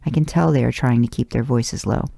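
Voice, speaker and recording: A woman's voice: very deep voice, brisk, vocal fry, dark tone, no room sound, clean studio sound. A woman reading a first-person story aloud as narration. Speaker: podcast host reading fan fiction aloud.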